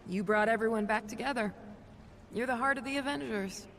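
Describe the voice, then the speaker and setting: A woman playing a calm, kind, wry superhero doctor in a video game, gentle and teasing in natural dialogue. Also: on the high side, a little fast, a little hiss or hum. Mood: warm, encouraging.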